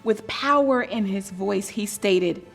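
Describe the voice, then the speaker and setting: A woman reading a children's story aloud in a deliberate, expressive and dramatic storytelling manner. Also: mid-range voice, creaky, breathy edge, faint music behind. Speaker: storybook reader.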